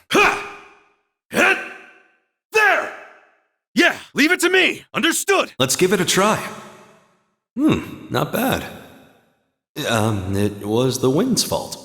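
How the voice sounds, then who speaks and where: A man voicing an earnest, calm young male warrior in a video game, speaking in a measured, sincere and formal way. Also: mid-range voice, breathy edge, full character voice. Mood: rallying, fierce, then warm, encouraging. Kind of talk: video game voice acting, battle calls and dialogue.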